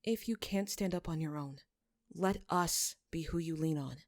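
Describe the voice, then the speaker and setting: Woman playing a soft, earnest young man. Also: low-pitched, unhurried, no room sound, clean studio sound. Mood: soft, solemn. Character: gentle, bashful young male shepherd, compassionate healer.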